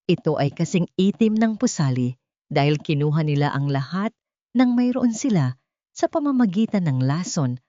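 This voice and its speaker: A woman voicing a weary, elderly male lawyer in a Filipino audio drama, speaking gravely. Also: on the low side, big pitch swings, moderate pace, mellow, no room sound, lo-fi sound. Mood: grave, bitter. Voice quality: tired, failing voice. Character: old weary male lawyer revealing dark secrets.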